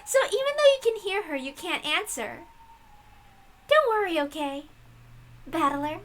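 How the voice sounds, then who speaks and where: A woman plays a cruel, smug witch in a visual novel reading. The voice is bright and feminine, speaking deliberately with mock tenderness and a sneer. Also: very high voice, sing-song, voice pushed up, exaggerated voice. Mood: taunting. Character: cruel mocking female witch of miracles, smugly sweet.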